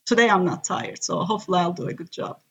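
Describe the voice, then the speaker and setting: A woman's voice: on the low side, big pitch swings, fast talker, breathy edge, dull audio. A woman from Turkey with a Turkish accent, a native Turkish speaker, chatting casually as a guest on a podcast. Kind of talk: casual conversational interview.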